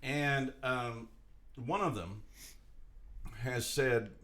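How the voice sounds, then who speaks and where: A man's voice: mid-range voice, drawn-out words, fairly bright tone. A man co-hosting a podcast, talking casually, rambling and joking. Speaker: podcast co-host. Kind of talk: casual chat.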